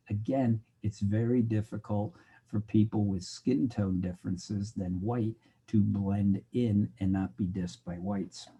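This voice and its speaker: Mellow, husky-voiced older man lecturing. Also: on the low side, poor audio. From United States. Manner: conversational, digressive, acts out quoted exchanges. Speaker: sociology instructor. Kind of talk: recorded lecture.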